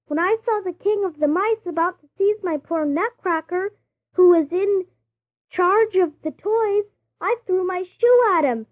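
A woman's voice: very high voice, measured pace. A woman playing an earnest little girl in an old storybook audio drama. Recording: tinny old lo-fi recording.

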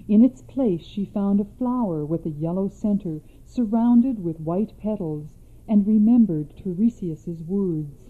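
A woman plays a young princess spinning old myths for her aunt in a radio drama. She narrates calmly and evenly at a middle pitch. The sound is thin and tinny, like over a phone line. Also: close to an everyday voice. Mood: storytelling. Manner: calm, measured narration. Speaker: storyteller. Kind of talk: scripted storytelling in a radio drama.

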